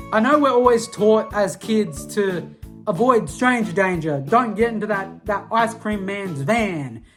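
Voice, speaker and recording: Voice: mid-range voice, fairly bright tone. A young adult with an Australian accent, ranting casually and self-mockingly to camera in a slangy, rambling way full of restarts. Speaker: vlogger. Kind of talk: casual nostalgic rant to camera.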